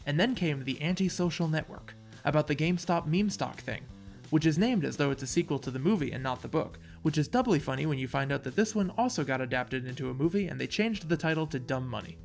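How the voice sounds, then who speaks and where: A man's voice: a little high, expressive, quick pace, creaky, soft background music. A man narrating a casual scripted video essay with wry, sarcastic asides.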